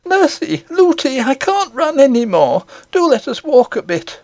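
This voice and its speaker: An excited little princess, chattering away in a high, breathless voice and firing off eager questions, as a man puts her on. Her voice leaps up and down with lively swings of pitch. It is a storybook reading in a dry, close sound. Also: unhurried, no echo, full character voice.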